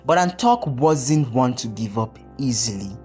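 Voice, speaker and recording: Storyteller voice reading a folktale, mid pitch, music under it. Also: expressive, a little slow, darkish tone. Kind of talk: scripted folktale narration.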